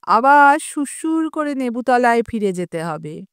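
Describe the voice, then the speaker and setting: A woman voicing an agitated, newly rich middle-aged man who complains loudly and indignantly in an audio story. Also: fairly high pitch, very animated, unhurried, clear, resonant tone, no echo, clean studio sound. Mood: agitated, outraged.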